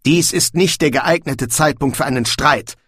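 A man's voice: fairly high pitch, moderate pace, fairly bright tone, breathy edge, dry recording, clean studio sound, voice pushed up. A man voicing an earnest, exasperated young tribesman in an audiobook, urgent and pleading. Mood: calmer.

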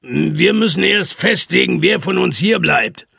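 A performer as a measured, orderly pirate captain. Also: mid-range voice, animated, vocal fry, bright, forceful tone, slightly rough, dry recording, clean studio sound, pitched above the performer's own voice, heavily acted. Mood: firm, measured. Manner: measured, murmuring, firm. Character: calm, orderly male air-pirate captain.

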